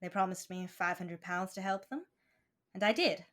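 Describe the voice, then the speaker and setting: An actress playing a dishonest, weary femme fatale in a 1940s-style radio play, coy and hesitant. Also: female voice, on the low side, normal speed, a bit bright, natural voice. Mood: coy, guarded.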